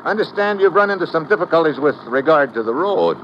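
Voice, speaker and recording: An actor playing a wry, hard-boiled American government troubleshooter in an old radio drama, speaking in a clipped, laconic way. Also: male voice, fairly high pitch, very animated, quick pace. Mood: casual, probing. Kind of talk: old-time radio drama dialogue and first-person narration. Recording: very noisy, muffled old radio recording.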